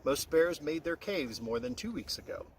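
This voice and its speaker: A man voicing a scolding, practical female deer giving brisk advice in a storybook. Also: on the high side, expressive, voice pushed up, lightly acted. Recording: very noisy, distant-mic recording.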